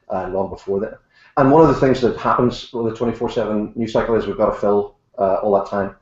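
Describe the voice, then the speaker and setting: A man's voice: on the low side, darkish tone. An older man with an Irish accent, a guest author talking in an online panel with dry, self-mocking humor and frequent "uh" fillers. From Ireland. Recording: echoey room, dull lo-fi sound.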